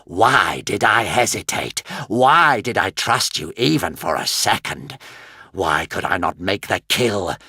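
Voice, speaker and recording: A man voicing a giant male dragon who speaks fiercely and bitterly, slow and heavy. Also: sits low, animated, creaky, pushed and bright, husky, no room sound, clean studio sound, heavily acted.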